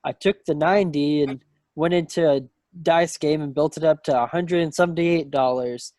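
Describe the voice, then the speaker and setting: A man's voice: fairly high pitch, normal speed, darkish tone, no room sound, lightly acted. A man playing a breezy, rueful young wartime serviceman in a table read of a stage play over a video call. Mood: wry, rueful. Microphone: computer mic on a video call.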